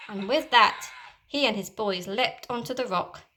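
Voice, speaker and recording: A teacher reading a children's storybook aloud in a lively, expressive way. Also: female voice, fairly high pitch, a little slow, a little hiss or hum.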